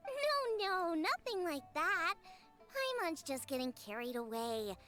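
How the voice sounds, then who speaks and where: Squeaky, very high, chirpy little fairy. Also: female voice, big pitch swings, slow pace, heavily acted. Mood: eager. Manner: chirpy, refers to self in third person. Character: Paimon, tiny childlike female fairy companion, chirpy and eager. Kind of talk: video game voice acting. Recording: loud game music behind voice. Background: music.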